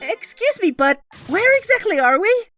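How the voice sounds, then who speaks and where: A tiny, devoted dog spirit for a game dub. The voice is extremely high and leaps all over the place, earnest and excitable, with music underneath. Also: female voice, normal speed, full character voice. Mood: cheerful, matter-of-fact. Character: eager loyal little Pomeranian dog spirit. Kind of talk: animated game fandub acting.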